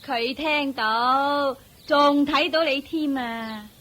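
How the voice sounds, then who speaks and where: An actress playing a bitter, fed-up young wife in a radio drama, complaining with contempt in a Hong Kong Cantonese accent. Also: female voice, high-pitched, extremely slow, bright, forceful tone, full character voice. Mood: contemptuous. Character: bitter, fed-up young wife scorning her poor husband.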